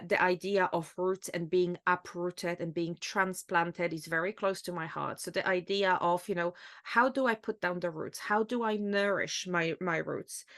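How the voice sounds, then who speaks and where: A woman's voice: mid-range voice, a bit bright. A woman from Poland with a Polish accent, co-hosting a podcast in a casual, reflective conversational style. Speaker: astrology podcast co-host.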